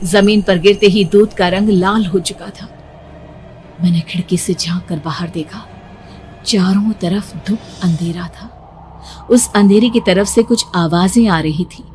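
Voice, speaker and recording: A woman's voice: medium pitch, darkish tone, a little hiss or hum. A woman narrating a horror story in the first person with a suspenseful, theatrical delivery.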